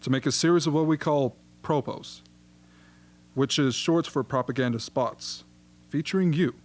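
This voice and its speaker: A man playing a genial, flattering propaganda chief in a radio play, chatty and smoothly persuasive. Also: medium pitch, moderate pace, natural voice. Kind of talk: amateur radio drama acting.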